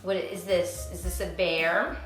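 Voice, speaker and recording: Bright-voiced woman explaining to parents. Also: on the low side, fairly even intonation, a little slow, faint music behind. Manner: encouraging, explanatory. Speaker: homeschooling mother and host. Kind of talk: instructional vlog talk with read-aloud asides to a child.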